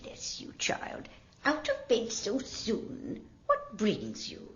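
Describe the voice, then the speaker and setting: Fussy old midwife, swooping delivery. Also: female voice, on the high side, huge pitch swings, slow pace, a bit bright, exaggerated voice. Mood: fussing, motherly. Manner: fussing, motherly, deferential to elders. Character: fussy, kindly old midwife servant woman. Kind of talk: audio drama acting. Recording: dull lo-fi audio with noise.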